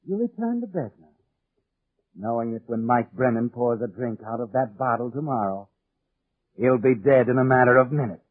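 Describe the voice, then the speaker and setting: A man's voice: mid-range voice, expressive, moderate pace. A man narrating a 1940s radio suspense drama in an ominous, insinuating way. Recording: old 1940s radio, narrow muffled band. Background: dramatic music.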